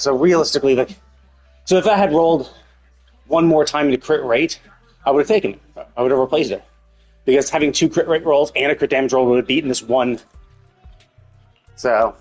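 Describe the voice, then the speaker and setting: A man with a husky, slightly rough voice at an ordinary male pitch, streaming a video game. He talks casually and off the cuff, rambling as he thinks through his options aloud. Music plays behind him. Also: rough recording. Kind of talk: casual unscripted gameplay commentary.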